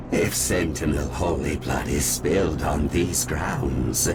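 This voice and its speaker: A haughty otherworldly lord in a video game, threatening and formal. The voice is hugely deep and drags ominously, with loud music underneath. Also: male voice, exaggerated voice.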